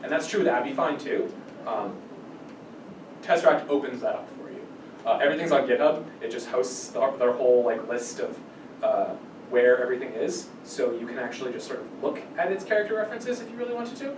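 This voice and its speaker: A man giving an informal technical explanation to a small group as a workshop presenter, often restarting and repeating his phrases. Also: brisk. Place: small indoor meeting room. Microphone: far camera microphone. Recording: distant mic in echoey room, rumbly noise.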